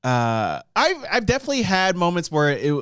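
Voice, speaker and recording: A man's voice: high voice, huge pitch swings, moderate pace, fairly bright tone, clean tone, no room sound, studio quality. A man co-hosting a podcast in casual comedic chat, joking and laughing often. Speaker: podcast co-host.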